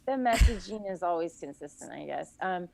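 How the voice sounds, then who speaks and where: A woman's voice: lowish voice, lively intonation, moderate pace, gravelly, a crowd in the background. A woman guest on a podcast, casually chatting and recounting events in long, detailed runs with frequent self-corrections.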